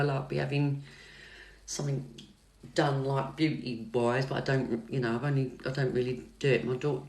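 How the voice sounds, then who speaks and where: A middle-aged woman, a psychic tarot reader chatting on a livestream and answering comments in a soft, calming voice, hesitant with many fillers and restarts. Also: low voice. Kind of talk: casual livestream chat answering viewer comments. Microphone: phone livestream mic.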